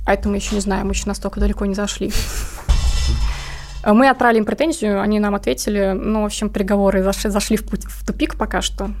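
A woman's voice: mid-range voice, steady tone, fast talker, a little mellow, faint music behind. A woman talking casually and informally as an interview guest, explaining things in a conversational way. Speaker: interview guest, comic artist.

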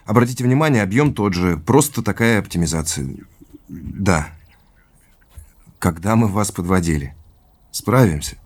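An earnest, low-voiced man in audio drama. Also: animated, normal speed, long pauses, darkish tone. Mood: businesslike. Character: earnest, inquisitive businessman tracing his family's past. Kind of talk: naturalistic audio drama acting.

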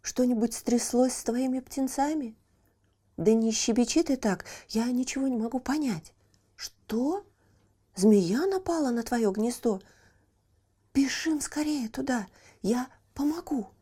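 Woman voicing an eager, kind young boy, high-pitched. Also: lively intonation, measured pace, dark tone, breathy edge. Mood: concerned, eager. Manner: earnest, exclaiming, coaxing.

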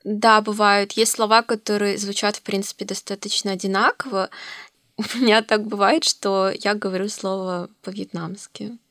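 A young woman talks as a guest on a podcast, relaxed and conversational. Her voice is soft and mid-pitched, and her sentences tend to fade quietly as they end. The recording is dry and close, with no room sound. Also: moderate pace, dry recording. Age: young adult. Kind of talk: casual chat.

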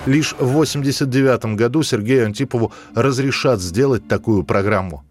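A man's voice: medium pitch, a little fast, a little mellow. A man presenting a radio programme, reading scripted narration about past events in a studio. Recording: music bed under the voice. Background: background music.

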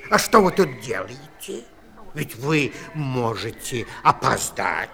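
An actor playing a funny, friendly brown bear who ushers guests about in a children's audio play, with an eager, courteous, repetitive way of talking. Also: male voice, mid-range voice, very animated, takes it slowly, creaky, bright, forceful tone, heavily acted. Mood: eager, bustling. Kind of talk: 1960s Russian children's audio play acting.

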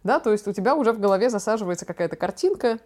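A young woman talks very quickly in a mid-range voice. She hosts a casual conversation, telling stories in an expressive way with plenty of slang. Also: mid-range voice. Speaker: podcast host. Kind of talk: casual chat, personal storytelling.

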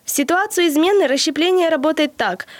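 Podcast co-host, woman, early twenties, higher-pitched, measured explainer with small hesitations. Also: no echo. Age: early 20s. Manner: measured, lecture-like delivery with occasional hesitations. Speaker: podcast co-host presenting the theory segment. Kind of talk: explaining from prepared notes, then casual chat.

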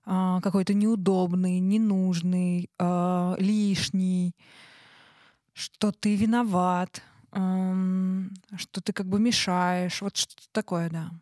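A woman co-hosting a casual, reflective conversation, thinking aloud in halting phrases. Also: fairly low pitch, level tone, a little mellow, no room sound, studio quality. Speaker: talk show co-host.

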